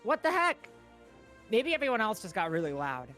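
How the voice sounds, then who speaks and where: A man's voice: high-pitched, wildly swooping pitch, pushed and bright, soft background music. A man co-commenting a livestreamed speedrun event in a chatty, playful way. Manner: chatty and playful, with quick restarts. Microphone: own microphone, talking close into it.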